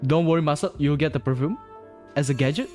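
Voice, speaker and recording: A man's voice: on the high side, fast talker, a little mellow. A man commentating casually on a live game stream in a laid-back, slangy way. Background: game music.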